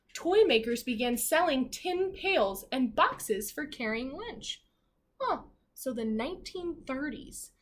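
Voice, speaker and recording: A woman's voice: high-pitched, fairly bright tone. A woman teacher reading a children's book aloud expressively, adding chatty asides and questions addressed directly to the children. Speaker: primary school teacher reading aloud to pupils.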